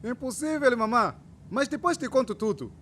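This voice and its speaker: Very high, animated young Mozambican man. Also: moderate pace, slight background noise. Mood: eager. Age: 20s. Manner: animated, affectionate, playful. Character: eager, idealistic young male trainee teacher. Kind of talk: radio drama acting.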